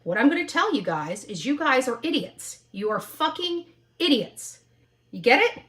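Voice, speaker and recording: A woman's voice: high voice, sing-song, moderate pace, a bit bright. A woman in her fifties talking combatively and dismissively in a livestream rant, swearing with heavy emphasis. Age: 50s.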